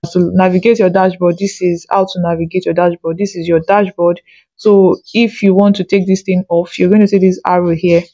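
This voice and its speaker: Mellow-voiced woman giving a dry-recorded tutorial. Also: fairly low pitch, level tone, brisk, no room sound, lo-fi sound. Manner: patient instructing with frequent comprehension checks. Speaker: online tutorial instructor. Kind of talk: step-by-step screen tutorial narration.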